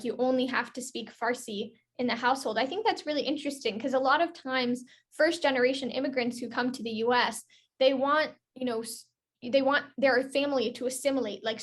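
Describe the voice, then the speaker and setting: Young woman, youth interviewer, higher pitch, casual phrasing, frequent filler words like "like". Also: husky. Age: teenager to young adult. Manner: frequent "like" and "you know".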